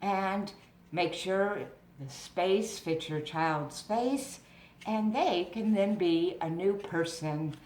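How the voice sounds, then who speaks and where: Woman, craft presenter, slow measured delivery, explanatory storytelling style, roomy echo, noisy recording. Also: lowish voice, darkish tone. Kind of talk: informal craft demonstration with storytelling. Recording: roomy echo with noticeable background noise.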